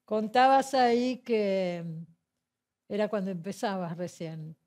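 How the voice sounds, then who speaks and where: A woman with a Buenos Aires Argentine accent hosting a conversational arts interview, chatty and quick to interject. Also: medium pitch, animated, a little mellow. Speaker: television interview host.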